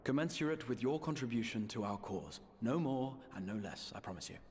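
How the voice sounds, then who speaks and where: An actor playing a formal, eloquent gentleman negotiator in a fantasy video game, with measured, courteous phrasing. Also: mid-range voice, lively intonation, a little hiss or hum, natural voice. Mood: courteous, earnest. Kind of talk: video game cutscene acting.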